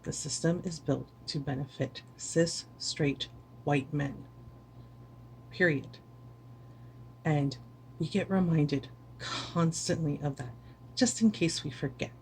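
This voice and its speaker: A woman's voice: deep voice, slow, long pauses, darkish tone, slight background noise, low-quality recording. A weary woman streamer giving an earnest, impassioned speech to camera, repeating words for stress. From United States.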